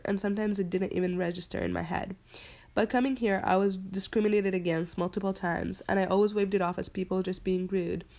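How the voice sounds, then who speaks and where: Young woman with a gravelly voice. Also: lowish voice, darkish tone. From Palestine. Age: young adult. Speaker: student narrating her own immigration story. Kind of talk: personal narration.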